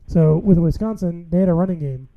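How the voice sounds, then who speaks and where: A man's voice: a little high, brisk, phone-quality sound. A man co-hosting a casual sports talk show, explaining his points in a matter-of-fact way.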